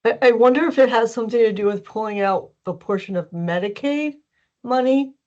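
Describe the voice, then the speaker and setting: A woman's voice: fairly high pitch, brisk, a little mellow. A woman on a work conference call, explaining informally as she thinks aloud. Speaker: meeting participant. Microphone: online conference call. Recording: dull, muffled call audio.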